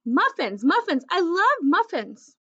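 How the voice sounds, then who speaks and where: A woman voicing an excitable, food-mad male pet dog in a children's storybook, eager and dramatic. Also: extremely high pitch, a little slow, dull audio, pitched above the performer's own voice, heavily acted. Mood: overjoyed.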